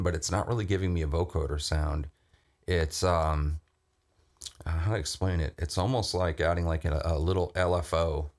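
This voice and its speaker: A middle-aged man casually explaining his vocal effects chain in a home music studio, talking loosely with many fillers and restarts. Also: sits low. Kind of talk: casual screen-recorded tutorial. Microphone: studio condenser mic with headphones.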